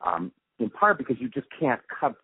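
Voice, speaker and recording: A man, middle-aged or older, answering interview questions in a thoughtful, explanatory, halting way. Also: medium pitch, big pitch swings, slightly rough, no echo, narrow, tinny audio. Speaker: interview guest, radio drama post-production supervisor.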